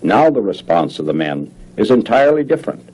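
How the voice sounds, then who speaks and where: A low, mellow American male narrator from a 1950s educational film. His delivery is formal and measured, with careful enunciation and a slightly husky grain to the voice. It has the sound of a vintage documentary soundtrack. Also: big pitch swings, poor audio.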